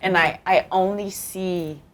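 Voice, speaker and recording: A woman's voice: fairly low pitch, steady tone, slow. A woman from Zimbabwe hosting an interview, effusive and encouraging, often laughing as she praises her guest. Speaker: host. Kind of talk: warm interview chat.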